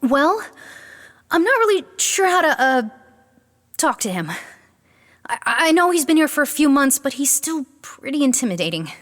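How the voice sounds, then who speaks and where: An actress playing an anxious, sardonic young aspiring beastmaster in an audio drama, halting and nervous. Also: female voice, high-pitched, sing-song, a bit bright, long reverb tail. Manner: nervous, halting, bossy with sarcasm. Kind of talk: comedic audio drama acting.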